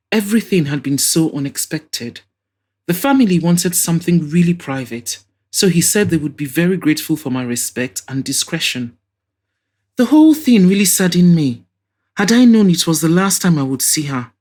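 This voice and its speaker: A woman's voice: deep voice, expressive, normal speed, darkish tone. A woman voicing an effusive, nostalgic older mother and hostess in an audio drama. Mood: sorrowful. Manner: effusive, exclaiming, reminiscing.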